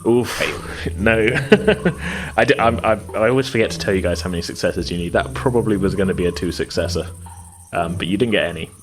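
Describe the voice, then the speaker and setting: A man's voice: low voice, brisk. A man narrating as game master in a casual, meandering way, hedging his descriptions as he goes.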